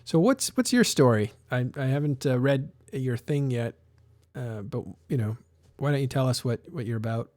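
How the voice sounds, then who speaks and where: A man's voice: medium pitch, expressive, normal speed, a little mellow, dry recording, studio quality. A man hosting a casual live stream, talking in a rambling way with many restarts and fillers. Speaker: live show host.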